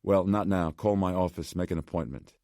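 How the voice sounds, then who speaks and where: Man acting skeptical doctor, male, bass register, curt, harried, questioning, audiobook dialogue, dry studio sound. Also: steady tone, normal speed, darkish tone, no echo, close to an everyday voice. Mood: brusque, wary. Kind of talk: audiobook character voice.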